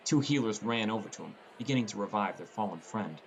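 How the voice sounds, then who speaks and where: Low-voiced man narrating an audiobook. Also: lively intonation, quick pace, gravelly, breathy edge. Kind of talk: scripted first-person fiction narration.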